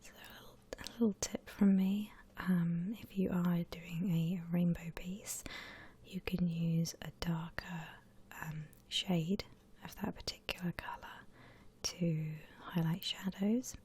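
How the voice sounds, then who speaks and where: A woman softly whispering an ASMR drawing tutorial in a breathy, gentle, unhurried way, like an instructor. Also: on the low side, fairly even intonation. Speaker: ASMR art channel host.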